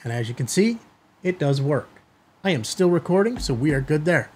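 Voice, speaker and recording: A middle-aged man hosting a hobby video, giving a product demonstration to camera in a husky voice. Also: fairly high pitch, animated, normal speed, darkish tone. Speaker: host of a ham radio video channel. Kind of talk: product test demo to camera. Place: small indoor studio room.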